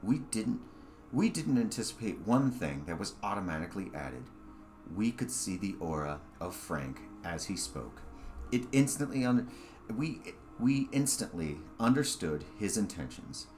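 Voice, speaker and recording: A man's voice: on the low side, big pitch swings, measured pace, darkish tone, soft background music. A man reading his own short story aloud as scripted narration.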